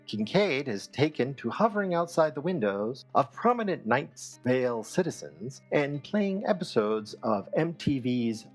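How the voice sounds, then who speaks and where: Guy hosting a scripted radio show, deadpan but pitch moves around a lot, music playing under him. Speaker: host and reader of a fictional radio show.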